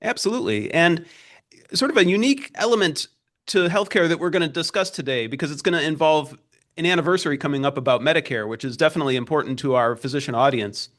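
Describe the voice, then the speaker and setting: A man's voice: a little high, fast, fairly bright tone. A man working as a reporter, hosting a formal interview and introducing his guests and questions.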